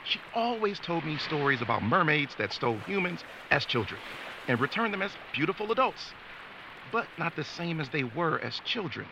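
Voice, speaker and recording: Guy acting easygoing young dude guide in audio drama, bouncy higher voice, noisy background. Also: big pitch swings, moderate pace, creaky. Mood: explanatory. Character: easygoing young man guiding friends on supernatural search.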